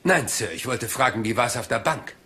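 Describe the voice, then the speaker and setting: A man's voice: medium pitch, lively intonation, moderate pace, bright, forceful tone, slightly rough. A man playing a rumpled, polite but shrewd police inspector in a German crime audio drama, hesitant and mumbling as if absent-minded. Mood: curious, polite. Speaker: actor dubbing a police inspector.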